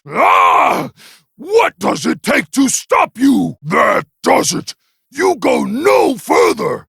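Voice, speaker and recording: A man voicing a menacing, grandiose minion commander in a video game, with a raspy voice and formal, threatening delivery. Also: high voice, a bit bright, no echo, clean studio sound, full character voice. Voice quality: raspy, gravelly. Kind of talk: video game character acting.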